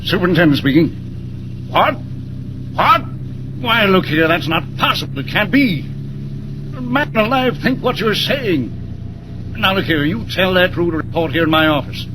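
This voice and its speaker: An actor playing a gruff, harried railroad superintendent in 1940s radio style, exclamatory and blustering. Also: male voice, high-pitched, big pitch swings, a little slow, long pauses. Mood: alarmed disbelief. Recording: noisy old 1940s radio broadcast, vehicle noise.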